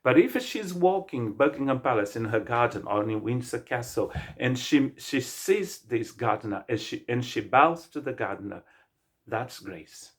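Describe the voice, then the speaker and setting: A middle-aged man from Brazil with a Brazilian Portuguese accent, a native Portuguese speaker, preaching a sermon as pastor in an earnest, halting way with many restarts. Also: medium pitch, lively intonation, husky. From Brazil, state of Rio de Janeiro.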